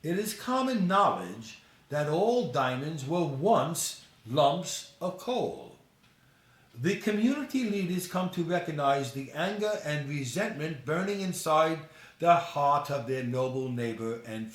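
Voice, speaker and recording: A man's voice: a little high, big pitch swings, slow pace, echoey room. A man reading his own short story aloud as scripted narration.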